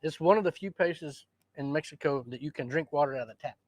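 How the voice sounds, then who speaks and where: A man's voice: on the high side, fast, no room sound. A man, explaining recipes in an easygoing cooking chat. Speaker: cooking show host. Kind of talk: casual cooking demonstration.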